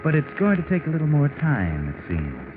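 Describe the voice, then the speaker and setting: Sardonic mystery narrator, vintage radio. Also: male voice, fairly high pitch, wildly swooping pitch, a little fast, phone-quality sound. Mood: sardonic, ominous. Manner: mocking, insinuating. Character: sardonic, knowing, all-seeing mystery narrator addressing the culprit. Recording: band-limited 1940s radio with music behind.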